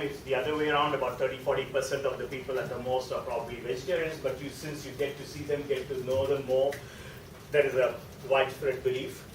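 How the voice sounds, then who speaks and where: A man from southern India with a South Indian accent, a native speaker of a South Indian language, giving an informal, explanatory guest lecture and often checking in with his listeners. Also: on the high side. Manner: conversational and explanatory, often checking in with a tag question. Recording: echoey room, distant mic, faint noise.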